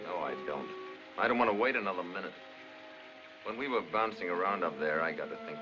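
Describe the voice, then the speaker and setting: Loud music plays under a muffled old film track. a brooding middle-aged man with a low voice, blunt and insistent. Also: animated, quick pace. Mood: grave. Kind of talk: 1950s film acting.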